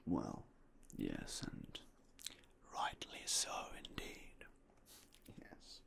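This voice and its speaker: A young man whispering softly and politely as a game shop clerk in an ASMR roleplay, close to a binaural mic. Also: extremely slow. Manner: quiet, whispery, polite shopkeeper patter.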